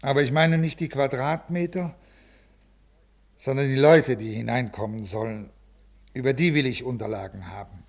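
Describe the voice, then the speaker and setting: An actor playing a brusque, uneasy senior official with a halting, officious manner in a 1950s radio drama. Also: male voice, medium pitch, close to an everyday voice. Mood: hesitant, earnest. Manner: officious, halting, irritable with subordinates. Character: brusque, uneasy male senior bureaucrat, troubled by conscience. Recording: old 1950s radio recording.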